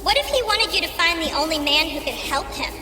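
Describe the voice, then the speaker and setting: A woman's voice: expressive, brisk, far from the mic. A woman voicing a small, earnest gnome who is protective of a master, in an audio drama. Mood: earnest, probing. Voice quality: small, high-pitched. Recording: echoing, reverberant voice over music. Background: music.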